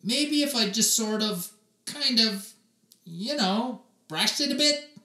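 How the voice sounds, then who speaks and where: A man's voice: very high-pitched, lively intonation, takes it slowly, sharp tone, echoey room, distant mic, voice pushed up, full character voice. A man voicing an anxious, stubborn young schoolboy in a storybook, by turns hesitant and exclaiming.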